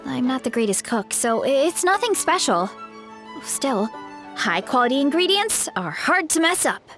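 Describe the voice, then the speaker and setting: A woman voicing a sweet, modest young farm woman in a video game, speaking in a gentle, friendly, reassuring way. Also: sits high, big pitch swings, moderate pace. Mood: grateful, modest. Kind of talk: animated video game voice acting. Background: soft background music.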